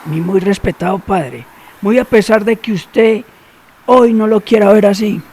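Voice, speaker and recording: Sly fixer: high, dark, gravelly, wheedling. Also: male voice. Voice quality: gravelly, knowing. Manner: teasing, wheedling, bargains slowly. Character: sly, scheming middle-aged male fixer. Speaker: voice actor in a radio drama.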